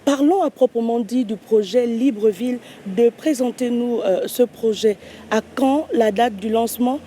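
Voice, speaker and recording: Woman interviewer, mellow voice, polite formal questions, faint noise behind. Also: on the high side, moderate pace.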